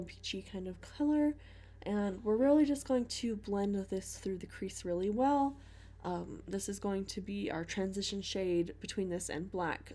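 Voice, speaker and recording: A woman's voice: medium pitch, mellow. A young woman with a stuffy cold, chatting through a makeup tutorial voice-over with many "um" fillers. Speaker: beauty vlogger.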